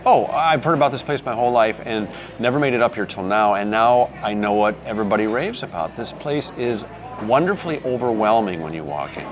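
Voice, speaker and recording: A man's voice: lowish voice, lively intonation, brisk, faint music behind. A middle-aged American man hosting a travel show with upbeat narration and a folksy, joking way of talking. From United States, Michigan. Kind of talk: upbeat scripted narration and interviewing.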